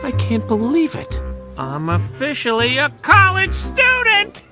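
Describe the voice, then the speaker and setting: A man voicing an eager, cocky young monster college student with an emphatic, self-assured manner in an animated storybook. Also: extremely high pitch, a little slow, heavily acted. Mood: thrilled. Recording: music playing behind.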